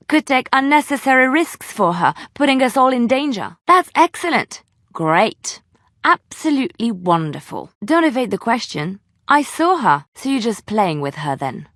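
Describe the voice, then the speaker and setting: A woman playing a romantic, earnest young bard in a video game, speaking with expressive, lilting phrasing. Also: on the high side, dry recording, clean studio sound. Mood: flustered, accusing, then sarcastic, annoyed. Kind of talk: video game character dialogue and storytelling.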